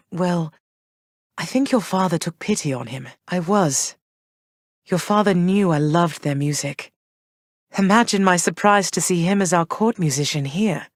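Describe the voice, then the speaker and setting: An actress voicing a gentle, regal queen who speaks to her son in a warm and measured way. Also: on the low side, no echo. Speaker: game character. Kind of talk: studio game voice lines. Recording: dry studio recording.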